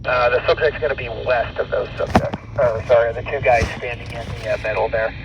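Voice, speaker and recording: A man's voice: mid-range voice, steady tone, fairly quick, far from the mic. A man talking in clipped, procedural police radio traffic as an air-unit officer. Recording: noisy muffled police radio, vehicle ambience.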